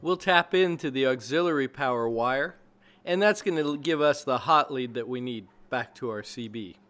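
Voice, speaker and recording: A man's voice: medium pitch, moderate pace, a bit bright, faint music behind. A man giving scripted how-to narration as the narrator of a DIY video, explaining plainly step by step.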